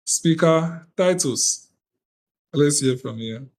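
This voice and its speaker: Measured Ghanaian man, slow and formal. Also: a little high, darkish tone. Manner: deliberate, measured, reads out lists of names. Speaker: convener of an online youth parliament. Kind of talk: formal ceremonial address. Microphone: video call.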